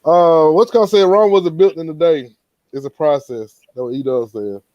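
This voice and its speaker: A man's voice: on the high side, moderate pace, darkish tone, no echo, dull audio. A man in his thirties hosting a casual sports chat in a laid-back, slangy, joking way. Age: 30s. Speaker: host moderating a fan panel.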